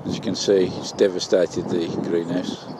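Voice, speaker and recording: A man's voice: low-pitched, level tone, normal speed, very noisy. A man, chatting casually as he shows his allotment work in a greenhouse. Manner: chatty, homely, plain-spoken. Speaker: allotment vlogger. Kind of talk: casual gardening vlog narration.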